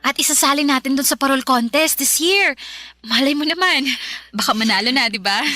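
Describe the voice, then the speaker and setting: An actress playing an upbeat, encouraging younger sister in a Tagalog radio drama. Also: female voice, sits high, normal speed, bright, forceful tone, no room sound, studio quality. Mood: apologetic, eager. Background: background music.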